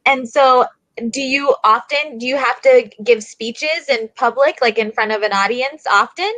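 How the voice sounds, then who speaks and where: A woman's voice: a little high, a little slow, fairly bright tone, no room sound, poor audio. Encouraging woman tutor, dry close sound. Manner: encouraging, filler-heavy with "like" and "right?". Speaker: English teacher. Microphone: video call.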